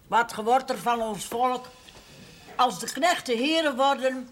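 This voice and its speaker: A doom-laden prophet in a period TV drama, fervent and Bible-soaked. The voice is very high for a man and declaims slowly and solemnly, with faint noise on the old soundtrack. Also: fairly bright tone. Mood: solemn lament. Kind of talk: theatrical television drama recitation.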